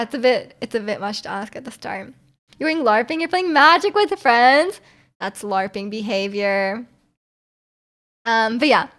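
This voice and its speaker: A woman from Canada streaming, chatting casually with viewers and reading their messages aloud, full of "like" fillers and quick laughs. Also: on the high side, fairly bright tone. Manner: laughs often while talking.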